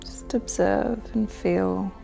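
Creaky, mellow woman guiding meditation. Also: medium pitch, steady tone, slow, faint music behind. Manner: soothing, gentle instructional delivery. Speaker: guided meditation facilitator. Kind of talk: guided meditation.

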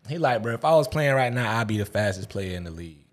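A man's voice: on the low side, animated, fast. A man, chatting in a laid-back way about sports and everyday life. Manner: laid-back, slangy, chuckles. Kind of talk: casual chat.

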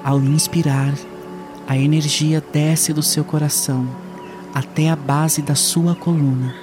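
A man leading a guided meditation in a soothing, unhurried way with long pauses. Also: a little high, little pitch movement, music in the background.

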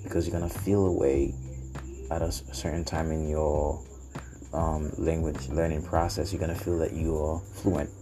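A man with a Haitian accent, a native Haitian Creole speaker, talking unscripted as a podcast host in a halting way, searching for words and repeating phrases. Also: very deep voice, level tone, over music, poor audio. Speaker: podcast host and language learner. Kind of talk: unscripted solo podcast talk.

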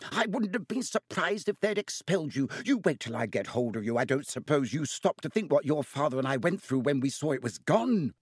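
A man voicing a furious middle-aged mother in an audiobook, giving a shrill, outraged telling-off. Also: big pitch swings, brisk, hardly any pauses, breathy edge, dry recording, clean studio sound. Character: furious scolding middle-aged mother, yelling magically amplified letter.

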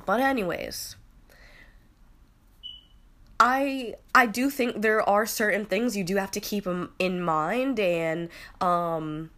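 A woman's voice: medium pitch, takes it slowly, long pauses, fairly bright tone. A woman in her late twenties with a slightly nasal voice from a cold, talking through her opinions in a loose, rambling monologue. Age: 20s. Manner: rambling, with many fillers and restarts. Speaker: podcast host.

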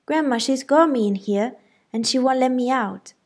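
A woman's voice: a little high, animated, normal speed, breathy edge, pitched above the performer's own voice. A woman doing a whiny tattletale boy. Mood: bawling. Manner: whiny, loud and bawling. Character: bratty young boy cousin, tattling and taunting.

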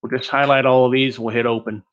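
A man's voice: mid-range voice, little pitch movement, fast, lo-fi sound. A man from Texas presenting an online teaching webinar in a rambling, joking, self-deprecating way.

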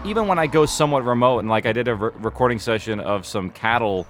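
A man's voice: mid-range voice, fast talker, slight background noise. A man with an American accent talking casually in an interview as an audio drama producer, explaining and thinking aloud.

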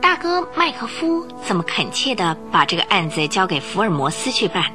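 A woman narrating a radio detective drama in a dramatic, animated storytelling manner. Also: mid-range voice, a little fast. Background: music bed.

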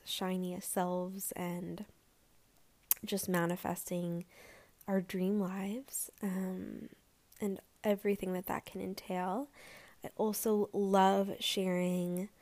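Woman podcast host, slightly hoarse, gravelly fry, slow unhurried talk, soft rambling chat. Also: fairly low pitch. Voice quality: raspy, breathy. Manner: soft, gentle, rambling with many fillers. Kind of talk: solo podcast intro, casual chat.

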